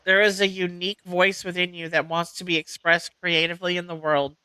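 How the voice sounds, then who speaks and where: A woman reading oracle card meanings aloud as host, in a measured, deliberate way with an occasional stumble. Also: on the low side, little pitch movement, pushed and bright, clear, resonant tone, no room sound, poor audio.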